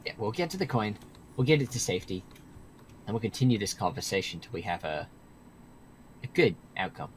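A man's voice: lowish voice, big pitch swings, fairly quick, long pauses, a little hiss or hum, rough recording. A man playing a long-lived, serious supernatural guide who explains things matter-of-factly in a video game roleplay. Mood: serious, explaining. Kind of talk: improvised Minecraft roleplay.

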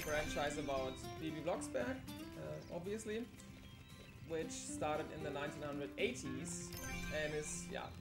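A young woman whose first language is German, chatting through a live speedrun with many fillers. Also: on the low side. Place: indoor room at a live event. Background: game music.

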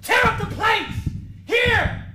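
A guilty killer in a radio drama, trying to hide his crime. His high-pitched voice is sharp and agitated, with defensive theatrical delivery in a roomy, echoing space. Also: slow, exaggerated voice. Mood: frantic, confessing. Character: guilt-ridden nervous murderer hiding his crime from police. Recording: roomy echo with faint noise.

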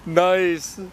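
A young man vlogging a college hockey road trip, talking loudly and excitedly with teammates on a handheld camera outdoors. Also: sits high. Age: young adult. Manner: loud, hyped, shouting and laughing. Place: outdoors by a bus and arena. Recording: loud outdoor noise, vehicles.

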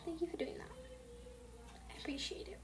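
A young woman hosting a casual gameplay video, talking softly and half-whispered, rambling and apologizing, recorded at home. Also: fairly quick. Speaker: gaming channel host. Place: home with family noise.

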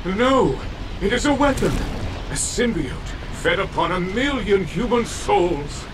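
An actor voicing a fanatical demon lord in a game, proclaiming in a theatrical, pompous way. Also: male voice, sits high, expressive, unhurried, noisy background. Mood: proud, menacing. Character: grandiose, fanatical demon lord and dark messiah. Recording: distant voice under clear music.

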